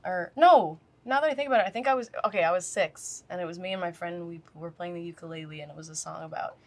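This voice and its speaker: A woman's voice: lowish voice, fast talker. A woman songwriter who talks in a laid-back, self-deprecating way. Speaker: songwriter guest. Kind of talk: casual chat.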